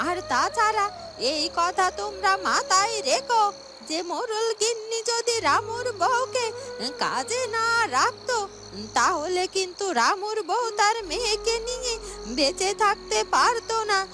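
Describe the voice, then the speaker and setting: A woman voicing a calm, persuasive old village woman in a cartoon, admonishing as she talks. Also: very high-pitched, unhurried, voice pushed up. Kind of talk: Bengali moral-story cartoon dubbing. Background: loud music.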